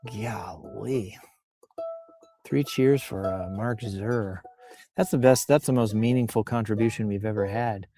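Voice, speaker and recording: A man with a mellow, relaxed voice riffs casually as he reads aloud, with faint music behind him. His delivery is playful and loose, wandering into off-the-cuff comic asides. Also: lowish voice, unhurried. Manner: playful, rambling, breaks off into asides and chuckles. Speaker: host reading and riffing on social media posts. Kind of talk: casual, improvised comic commentary.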